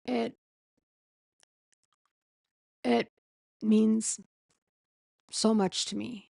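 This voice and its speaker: A woman losing her voice reads slowly through a legal document, pausing and correcting herself as she goes. Her worn throat gives the voice a gravelly fry. The sound is close and dry, with no room around it. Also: a little high, little pitch movement, warm tone, dry recording. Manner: slow, halting reading with pauses and self-corrections. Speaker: streamer reading a court filing. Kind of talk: casual late-night live stream.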